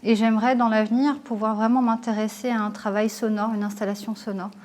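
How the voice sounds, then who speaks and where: A woman whose first language is Italian, an artist thoughtfully explaining her exhibition and pausing often to find her words. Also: a little high, level tone, darkish tone. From Italy. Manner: thoughtful, searching for words with hesitations. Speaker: visual artist.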